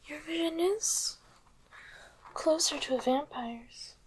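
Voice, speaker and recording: A woman playing a calm, courteous demon doctor in a whispered ASMR exam roleplay, giving slow, gentle, attentive instructions in a soft, breathy voice. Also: high-pitched, slight background noise, rough recording. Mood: calm, intrigued. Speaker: ASMR performer.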